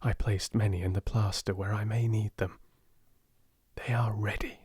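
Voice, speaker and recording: A gentle Indian manservant from a storybook, voiced by a male reader. He is humble and devoted, with a measured, deferential way of speaking and an air of quiet mystery. The sound is dry and close. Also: lowish voice, fairly even intonation, slightly rough, dry recording. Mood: quietly confident.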